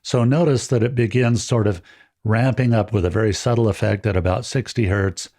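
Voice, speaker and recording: A man with a fairly deep voice hosting a friendly step-by-step audio tutorial, explaining in a relaxed, chatty way with small jokes, recorded on a dynamic mic with no EQ. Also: brisk. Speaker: audio gear tutorial host. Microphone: dynamic handheld-style studio mic, no EQ.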